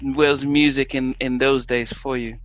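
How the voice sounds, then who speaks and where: Halting male interviewer. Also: mid-range voice, little pitch movement. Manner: halting questions with repeated words. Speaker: interviewer host. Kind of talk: interview questions. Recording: dull lo-fi audio with faint music.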